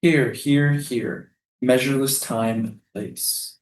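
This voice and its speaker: A man acts a poetic prisoner in a stage drama. He speaks in a mid-range voice with deliberate, lyrical phrasing and quiet defiance. The room is strongly echoey around him. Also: medium pitch, steady tone, slight background noise, close to an everyday voice. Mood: poetic, intense. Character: male prisoner Jamil, dreamy, defiant, poetic. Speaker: actor in a staged reading. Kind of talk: theatrical staged reading.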